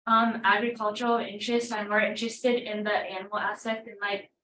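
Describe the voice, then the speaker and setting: A teenage girl from California introduces herself in a measured, slightly hesitant way with a bright tone. The mic is distant in an echoey hall. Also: mid-range voice, flat delivery. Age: teens. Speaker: student. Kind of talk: self-introduction. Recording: echoey room, distant mic, faint noise.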